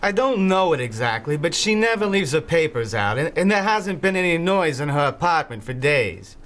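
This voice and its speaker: An actor playing a grouchy, nervous recluse in an audio drama, irritable and prone to stammering. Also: male voice, on the high side, very animated, normal speed, a bit bright. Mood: nervous pleading. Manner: irritable, stammering when anxious. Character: grouchy reclusive man, nervous and pleading under pressure.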